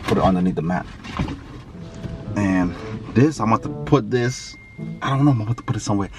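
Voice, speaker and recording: A man's voice: lively intonation, a little fast, music in the background. A young man vlogging to a dashboard camera from inside a car, chatty and playful with his viewers, then pleading innocence to his girlfriend. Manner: playful, then pleading and high-pitched in denial. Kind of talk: casual vlog to camera. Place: inside a parked car, outdoors in summer heat. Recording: car interior with background noise.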